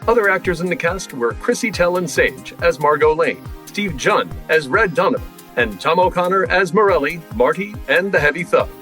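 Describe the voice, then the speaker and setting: Man as old-time radio announcer, higher-pitched. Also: expressive, normal speed, few pauses, sharp tone, faint music behind. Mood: formal. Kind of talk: scripted 1930s-40s radio announcing.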